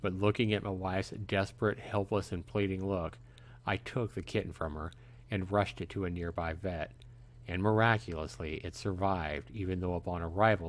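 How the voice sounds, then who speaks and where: Low-voiced man narrating a dramatic story. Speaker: narrator reading a visual novel aloud.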